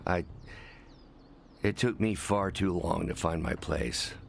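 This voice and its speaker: An actor playing a remorseful, gentle male scientist hero, speaking quietly and haltingly in a video game cutscene. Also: low voice, gravelly, slight background noise, full character voice. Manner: quiet, halting, soft-spoken.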